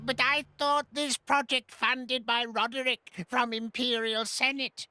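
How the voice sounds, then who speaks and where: A woman playing a small excitable creature henchman in a video game, fawning and bossy, talking in broken grammar. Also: fairly high pitch, unhurried, clear, resonant tone, no room sound, faint music behind. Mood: fawning, puzzled.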